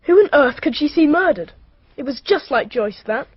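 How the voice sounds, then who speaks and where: A woman's voice: sits high, expressive, normal speed, no room sound. A woman playing a blunt, offhand schoolboy in a radio mystery drama, dismissive and matter-of-fact. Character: blunt, scornful schoolboy, brother of a dead girl. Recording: muffled radio drama recording.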